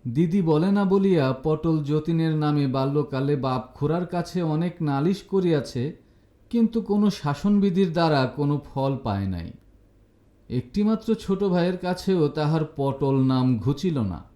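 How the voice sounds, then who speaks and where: Mellow male storyteller with roomy echo. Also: fairly high pitch. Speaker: story narrator. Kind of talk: scripted literary narration.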